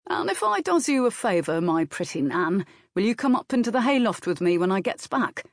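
Woman reader as cheeky male groom, cocky and rough-spoken. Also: mid-range voice, big pitch swings, a little fast, no room sound, clean studio sound, pitched above the performer's own voice. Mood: flirty. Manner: cocky, ungrammatical.

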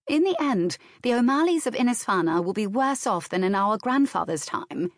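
Woman as a sensible, reproving elder sister, raised pitch. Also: lively intonation, no room sound, close to an everyday voice. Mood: gently lecturing. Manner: measured, lecturing, dry.

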